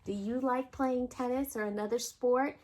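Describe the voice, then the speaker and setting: A woman's voice: fairly high pitch, fairly even intonation, normal speed, a little mellow. A woman hosting a children's storytime, reading a picture book aloud expressively and speaking directly to young listeners. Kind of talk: storytime read-aloud with asides to young viewers.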